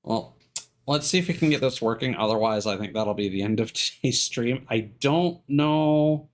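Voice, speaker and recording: A man's voice: medium pitch, normal speed. A man doing casual, rambling live stream commentary on a game, thinking aloud in hesitant fragments.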